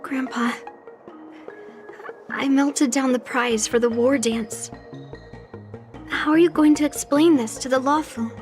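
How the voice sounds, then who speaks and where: A woman's voice: high-pitched, steady tone, slow pace, long pauses, a little mellow, soft background music. An actress voicing a stubborn, determined young granddaughter and swordswoman in a video game. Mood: regretful, worried. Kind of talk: scripted game voice acting.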